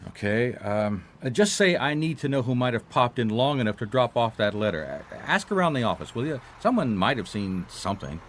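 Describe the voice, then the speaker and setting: An actor playing a laconic, skeptical private detective in a radio drama, with a dry, understated, hesitant way of talking. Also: male voice, mid-range voice, very animated, brisk, few pauses, fairly bright tone, slight background noise. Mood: businesslike, persistent. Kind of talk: radio drama dialogue and first-person narration.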